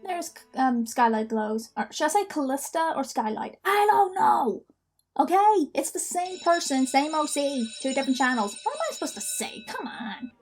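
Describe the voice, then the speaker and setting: A woman's voice: high voice, sing-song, normal speed. A woman hosting a reaction video, giving casual, chatty commentary with playful asides. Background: audio drama being played with music.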